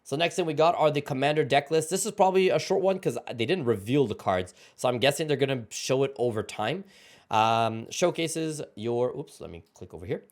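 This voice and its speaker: Excitable man losing his voice. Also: medium pitch, a little fast. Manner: excitable, thinks aloud, reads card text aloud. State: losing his voice, clearing his throat. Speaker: card game channel host. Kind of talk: casual reaction commentary while scrolling an article.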